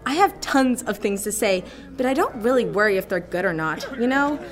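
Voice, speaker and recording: A woman's voice: medium pitch, lively intonation, a little fast, close to an everyday voice. A woman voicing a gushing, dreamy teenage girl in a radio drama, full of "like" and "you know.".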